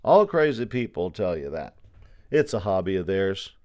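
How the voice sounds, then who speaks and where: A man's voice: low voice, big pitch swings, moderate pace, a little mellow, smooth. A man voicing an ordinary soldier in an audiobook story, dismissive and puzzled, with an offhand colloquial manner. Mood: puzzled, scoffing.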